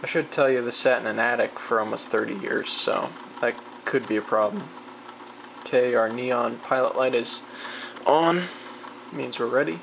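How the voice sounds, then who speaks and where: Clear machine noise sits behind a gravelly, mid-pitched voice. The speaker gives a casual, unscripted hobbyist demonstration, rambling and half talking to themselves as they go. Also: steady tone, moderate pace, a bit bright. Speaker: hobbyist demonstrating vintage tape recorder. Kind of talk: casual off-the-cuff demonstration chat. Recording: clearly noisy home video, machine hum.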